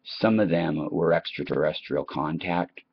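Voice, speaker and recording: A man's voice: rumbling low, measured pace, breathy edge. A man hosting his own video, talking in a musing, unscripted monologue that wanders and halts with trailing asides. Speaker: video host. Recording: dull lo-fi sound.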